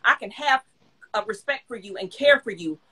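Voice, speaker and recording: A woman's voice: fairly high pitch, lively intonation, bright, forceful tone, breathy edge. A woman in her thirties co-hosting a casual talk show, speaking emphatically about her own views. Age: 30s. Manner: emphatic, fast-talking storyteller. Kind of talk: casual chat.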